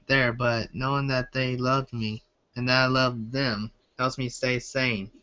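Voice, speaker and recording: A man's voice: medium pitch, pushed and bright. A man reading a first-person story aloud as an amateur, stumbling over words now and then. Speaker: amateur fan fiction reader. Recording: dull lo-fi home recording.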